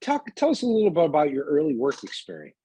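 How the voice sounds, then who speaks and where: A man hosting a podcast interview, asking long, halting questions with many restarts. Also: fairly high pitch, huge pitch swings, dark tone, husky. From United States, Chicago. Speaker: guest host, interviewer.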